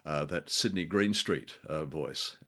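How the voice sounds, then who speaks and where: A man co-hosting a radio show, chatting casually about old drama in a halting, wry, bookish way. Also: extremely low pitch, bright, forceful tone.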